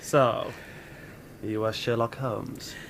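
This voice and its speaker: A man's voice: mid-range voice, little pitch movement, slow, a little hiss or hum. An English man playing a haughty, genteel criminal in a radio drama, with a polished and formal way of speaking. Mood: cool, defiant. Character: haughty, genteel young male master criminal. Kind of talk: British radio drama acting.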